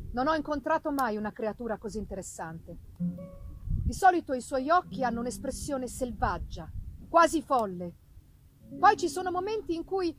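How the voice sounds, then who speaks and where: High-voiced woman reading dramatically, noisy recording. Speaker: reader of a literary work. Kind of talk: dramatic literary reading of a gothic novel.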